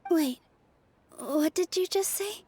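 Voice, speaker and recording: A woman's voice: extremely high pitch, slow pace, warm tone. A woman voicing a sweet, caring young dancer in a video game, with a light, earnest delivery. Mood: alarmed. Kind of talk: video game character dialogue.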